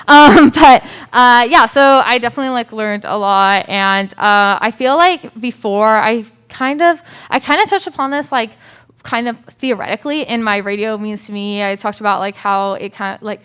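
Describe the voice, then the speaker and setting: Clear-voiced young woman chatting informally. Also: mid-range voice. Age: young adult. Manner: informal, peppered with "like". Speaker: student guest, political science and Spanish major. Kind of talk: casual chat.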